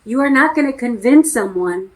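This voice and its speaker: A woman's voice: fairly high pitch, animated, a little fast. A middle-aged American woman talking frankly and emphatically as an acting coach in a casual interview. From United States.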